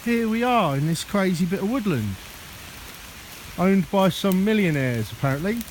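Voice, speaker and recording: A man with a notably high voice talks casually and jokily to the camera. He is outdoors in rainy woodland. Rain is clearly audible behind him. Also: animated, normal speed, rough recording. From Sheffield, England. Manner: jokey, chatty, laughs often. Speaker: vlogger. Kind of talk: casual outdoor vlog chat. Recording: rain noise in the background.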